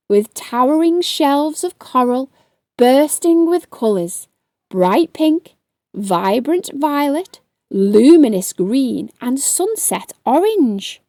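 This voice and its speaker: A woman reading a story aloud to children, hosting in an animated, expressive way and speaking directly to her young listeners. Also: on the high side, dry recording, clean studio sound. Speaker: children's story reader and host.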